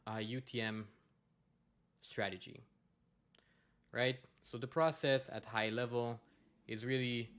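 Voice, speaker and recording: A man's voice: on the low side, a bit bright. A man from Quebec, a native French speaker with a Québécois accent, giving a conference presentation and explaining with many fillers and restarts. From Quebec, Canada.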